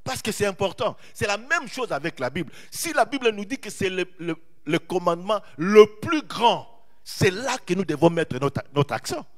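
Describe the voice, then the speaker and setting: Animated preaching man, lively pitch. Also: moderate pace. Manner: animated preaching, repeats phrases, draws out key words. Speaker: pastor. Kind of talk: sermon.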